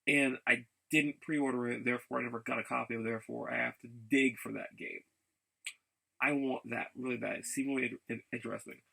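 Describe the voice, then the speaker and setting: A man with a bright, forceful voice and a lot of gravelly fry chats as a casual vlogger. He is keen and rambling, often stopping to repeat himself or change course mid-sentence. His pitch sits in an ordinary male range. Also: fairly even intonation, rough recording. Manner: halting, repeats words and backtracks often. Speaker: vlogger showing game and figure pickups. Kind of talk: casual rambling vlog chat.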